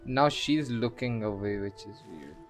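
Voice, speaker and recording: A man gives casual gameplay commentary in a fairly low voice. He talks very slowly and haltingly, murmuring as he thinks aloud. Faint music sits behind him. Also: expressive. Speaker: video game streamer. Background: faint game music.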